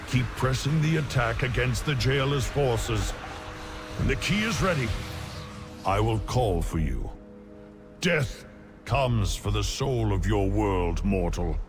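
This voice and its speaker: Slow, grave, ancient godlike leader. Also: male voice, medium pitch. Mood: commanding resolve. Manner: solemn, deliberate, commanding. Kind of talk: epic fantasy game cutscene acting. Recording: clear music bed behind voice. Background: game score music.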